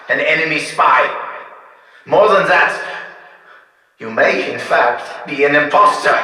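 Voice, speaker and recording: An actor playing a stern, suspicious, pompous scientist with a formal, theatrical delivery in a video game. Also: male voice, high voice, pushed and bright, full character voice. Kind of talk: dramatic game voice acting. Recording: very echoey, distant hall reverb.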